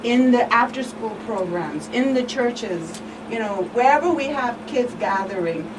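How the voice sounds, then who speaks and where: A woman with a fairly high voice talks as a guest expert on a community show. She is conversational yet measured and emphatic, and sits a little away from the mic. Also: expressive. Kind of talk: conversational advocacy talk. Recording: distant mic, clear background noise.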